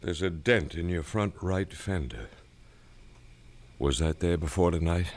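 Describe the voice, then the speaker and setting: An actor playing a tired, stern middle-aged father in a radio drama, evasive, halting and urgent in manner. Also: sits low. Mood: tense, calculating.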